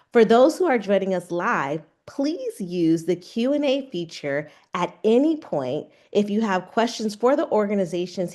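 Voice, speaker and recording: A woman's voice: mid-range voice, darkish tone. A woman hosting a virtual fair on a video call, reading a welcoming, scripted introduction. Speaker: event host.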